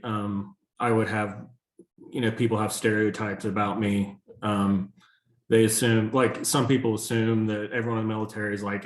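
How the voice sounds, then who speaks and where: Low hesitant man in an echoey room. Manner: hesitant, many ums. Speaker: student. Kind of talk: answering in class.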